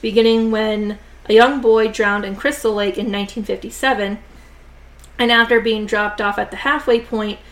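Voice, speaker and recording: Woman podcast host, kinda high voice, says like a lot, jaw's sore so she goes a bit slow. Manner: chatty, many "like" and "you know" fillers. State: sore jaw, talking a bit slowly. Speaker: podcast host reviewing horror films. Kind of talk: casual conversational film review.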